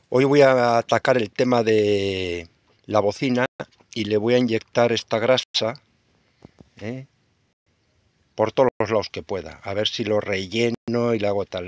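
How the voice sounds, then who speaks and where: A man's voice: fairly low pitch, no room sound. An older man from Spain in his sixties chatting to viewers in a casual vlog as he works on his boat and walks the beach. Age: 60s. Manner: chatty, talks to viewers while walking and working. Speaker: retired sailor vlogger. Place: outdoors on a boat, beach and town. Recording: noisy outdoor camera audio.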